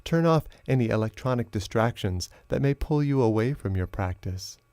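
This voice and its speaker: A man narrating a guided meditation as a teacher, in a slow, measured, instructional way with long pauses. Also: mid-range voice, animated, a little mellow, no echo.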